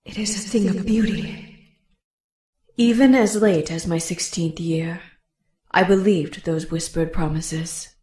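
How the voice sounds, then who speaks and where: A woman's voice: on the low side, steady tone, a little slow, long pauses, dark tone, slightly rough. A woman voicing a fierce, proud, hot-tempered young Amazon warrior in a motion comic, blunt and dramatic. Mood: solemn, bitter.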